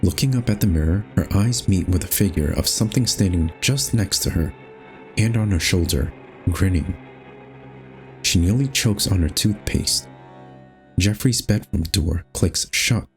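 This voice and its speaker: A man's voice: deep voice, measured pace, faint music behind. A man reading scripted, storybook-style narration for a horror game.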